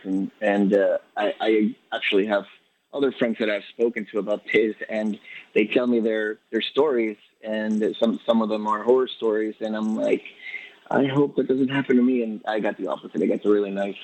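A man from Ecuador, a poet being interviewed, answering in a quick, halting way with many restarts and fillers. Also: lowish voice, a little mellow, a little hiss or hum, poor audio. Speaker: poet guest.

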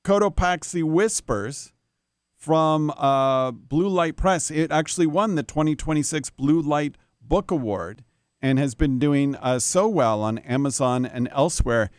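Guy hosting an interview, smooth clear voice, dry close sound. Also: a little high, dry recording. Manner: measured, formal phrasing with fillers. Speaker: interview host. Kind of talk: radio-style interview.

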